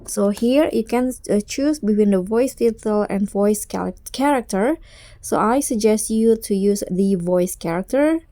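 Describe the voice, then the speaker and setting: Mellow-voiced woman hosting a tutorial. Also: mid-range voice, unhurried, dry recording, clean studio sound. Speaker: tutorial host. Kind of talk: casual software tutorial narration.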